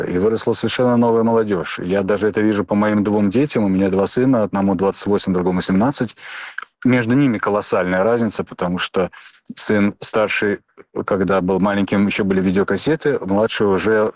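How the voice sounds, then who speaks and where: A man's voice: lowish voice, a little mellow, dry recording. A man in his fifties answering an interview off the cuff, hesitating and restarting often. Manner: hesitant, many fillers and restarts. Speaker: interview guest.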